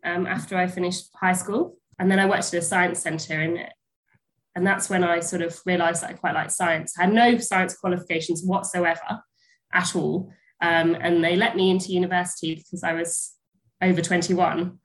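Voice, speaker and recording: Woman, 30s, low register, stuffy with a cold; relaxed, halting interview guest. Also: rough recording. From England, near Bristol. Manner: hesitant, many ums and restarts. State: snuffly from a cold. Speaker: guest, pasture science lecturer. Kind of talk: casual interview chat.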